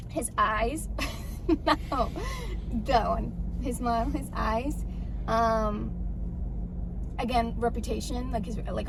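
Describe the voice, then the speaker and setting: A woman's voice: fairly high pitch, creaky. A Puerto Rican woman, a Spanish speaker, chatting casually as co-host and packing her talk with "like". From Puerto Rico. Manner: chatty, lots of "like", quotes others. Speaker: co-host answering Q&A. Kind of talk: casual couple chat. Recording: noisy background hum.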